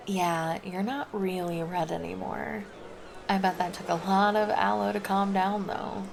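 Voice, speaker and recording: A woman playing a gravelly, laid-back flirty lifeguard. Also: mid-range voice, fairly even intonation, measured pace, background noise. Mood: easygoing. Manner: casual, teasing, easygoing chatter. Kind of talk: ASMR roleplay.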